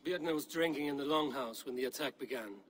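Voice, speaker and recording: An actor playing a stern Viking warrior in a video game, questioning people in a measured, grave way. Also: male voice, mid-range voice, natural voice, low-quality recording. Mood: grave, reporting. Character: stern probing Viking warrior investigating a betrayal. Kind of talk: video game cutscene dialogue.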